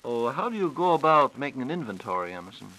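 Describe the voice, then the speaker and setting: A man's voice: mid-range voice, very animated, quick pace, bright, forceful tone, rough recording. An American man hosting a scripted 1940s radio science program with a playful, theatrical announcer delivery. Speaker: radio host interviewing a science reporter. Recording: 1940s radio broadcast recording.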